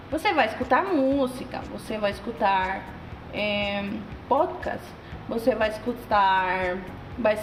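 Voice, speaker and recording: High woman in an echoey room. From Colombia. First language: Spanish. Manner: halting, with fillers and repeated phrases. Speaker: language tutor guest. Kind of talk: casual spoken tips. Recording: echoey room, faint ambient noise.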